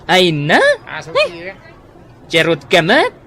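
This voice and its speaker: A woman with an Ilocano accent playing a sassy, gossipy neighbour who sells air fresheners in a comedy radio drama, teasing and salesy with drawn-out exclamations. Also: on the low side, wildly swooping pitch, gravelly, a bit bright, some chatter behind, exaggerated voice. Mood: cheeky, indignant.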